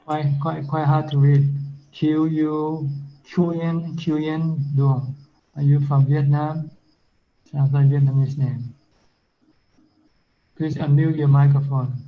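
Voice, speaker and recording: A man's voice: a little high, little pitch movement, takes it slowly, pauses often, slight background noise. A man who is a Buddhist monk, giving an informal teaching and answering questions on a video call, speaking plainly with simple comparisons. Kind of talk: informal Dhamma talk and Q&A. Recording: dull lo-fi video call audio.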